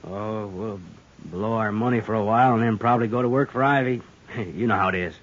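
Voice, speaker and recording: An actor playing a hungover, good-natured, rustic freighter in a 1950s radio western. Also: male voice, on the low side, fairly quick, close to an everyday voice. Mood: easygoing. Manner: rustic, folksy. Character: hungover, sheepish, good-natured male frontier mule freighter. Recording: muffled old radio recording.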